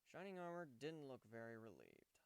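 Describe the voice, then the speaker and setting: A man's voice: mid-range voice, huge pitch swings, moderate pace, creaky, smooth, no room sound. A man giving a dramatic, scripted narration of a story. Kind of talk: dramatic fan fiction reading, scripted narration.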